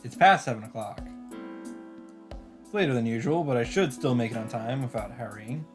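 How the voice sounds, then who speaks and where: A man's voice: medium pitch, normal speed. Man reading a visual novel over music. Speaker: let's player. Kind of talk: reading visual novel narration aloud with casual reactions. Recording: loud game music behind. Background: visual novel background music.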